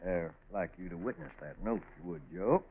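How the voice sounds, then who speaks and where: Muffled vintage radio, folksy middle-aged male farmer, lively swinging intonation, genial, hesitant. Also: low-pitched, a little fast, breathy edge. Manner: folksy, hemming and chuckling. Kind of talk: 1940s radio drama acting. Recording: muffled old 1940s radio recording.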